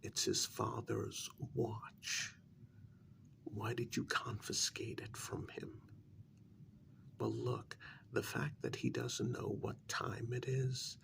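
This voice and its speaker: A man playing a blunt, exasperated confidant to a vampire in a soft-spoken ASMR role-play, breathy and half whispered, with a measured, admonishing manner. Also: on the low side, full character voice. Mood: exasperated, insistent. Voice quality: gravelly, breathy, near-whisper.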